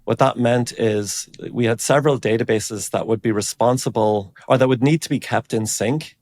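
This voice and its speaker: A man giving an informal technical explanation as an interview guest, thinking aloud in a halting way. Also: mid-range voice, a little mellow, no room sound. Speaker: engineering director, interview guest.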